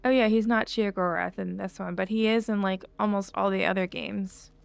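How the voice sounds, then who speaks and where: Female game streamer, casual and chatty, noticeable vocal fry, dry close mic. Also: mid-range voice, steady tone, normal speed, no echo, faint music behind. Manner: chatty, thinking aloud. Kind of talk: casual livestream chat while playing.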